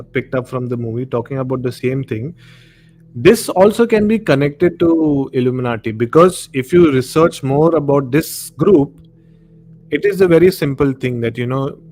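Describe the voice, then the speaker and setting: A man's voice: mid-range voice, darkish tone. A man with an Indian accent hosting a casual live discussion, explaining things in a conversational way and often saying "you know". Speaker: live show host. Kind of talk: casual discussion of horror and conspiracy. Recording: noisy live stream audio.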